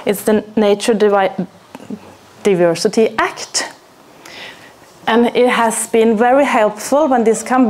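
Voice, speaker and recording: A woman in her thirties from Norway with a Norwegian accent, teaching arboriculture and presenting to an audience, unhurried, with pauses, filler words and questions to the room. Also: medium pitch, darkish tone. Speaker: arboriculture teacher giving a talk. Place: small hall with plywood walls and a projector screen. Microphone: far camera, no mic seen.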